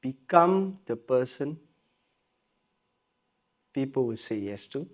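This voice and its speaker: A man's voice: medium pitch, slow pace. A South African man, a guest musician, talking in a casual podcast conversation, emphatic and fond of repeating phrases. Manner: animated, emphatic, repeats phrases, laughs often.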